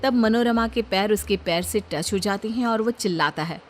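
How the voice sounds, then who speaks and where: A woman's voice: mid-range voice, moderate pace, slight background noise. A woman narrator reading a scripted moral story.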